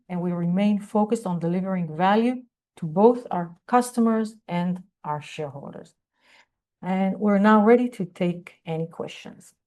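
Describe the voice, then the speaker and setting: A woman company executive presenting on an earnings call in a formal, measured business manner. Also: mid-range voice, mellow.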